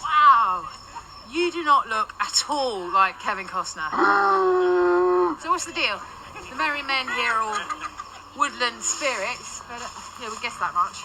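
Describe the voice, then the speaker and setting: A woman's voice: fairly high pitch, lively intonation, a little slow, long pauses. A woman with a British accent playing an irreverent, wisecracking ghost in a naturalistic radio drama. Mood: amused, teasing. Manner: flippant, sardonic, chatty. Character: irreverent, wisecracking female ghost sent to negotiate. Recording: loud ambient noise behind.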